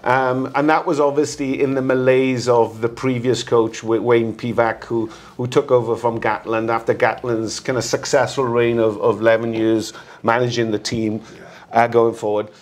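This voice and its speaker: Give me a man with a middle-pitched voice, halting and repeating himself as he analyses rugby. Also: little pitch movement. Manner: halting, repeats words as he thinks aloud. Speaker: rugby guest pundit. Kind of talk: casual rugby discussion.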